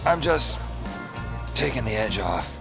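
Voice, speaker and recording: Deep-voiced wry noir antihero over music. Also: male voice, big pitch swings, unhurried, gravelly, heavily acted. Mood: groggy, defensive. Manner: sardonic, casual, muttering asides. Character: wry, tipsy, hard-boiled male magic-world antihero. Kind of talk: first-person noir narration and dialogue, audio fiction. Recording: music behind the voice.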